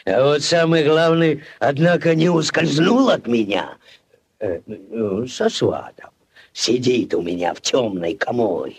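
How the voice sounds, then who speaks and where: A man playing a pompous, self-important village headman in a 1940s radio play, blustering and stammering in a husky voice. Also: mid-range voice, a little slow. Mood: boastful. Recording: old 1940s radio recording.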